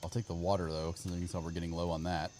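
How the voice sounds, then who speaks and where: A man's voice: deep voice, level tone, a little fast, dark tone. A man streaming a video game, giving casual commentary and chatting with viewers, sometimes muttering to himself. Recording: clear background game ambience noise.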